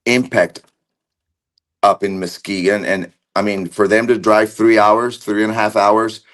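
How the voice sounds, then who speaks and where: Man, low voice, halting, many restarts and fillers, Argentine background, video call guest. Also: level tone, a bit bright. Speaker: interview guest. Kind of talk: casual recollection.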